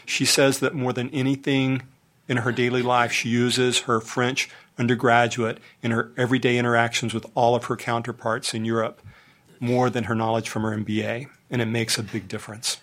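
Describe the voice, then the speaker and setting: A university administrator at a board meeting, speaking in a matter-of-fact way. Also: male voice, mid-range voice, flat delivery, moderate pace, fairly bright tone. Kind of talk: formal meeting remarks.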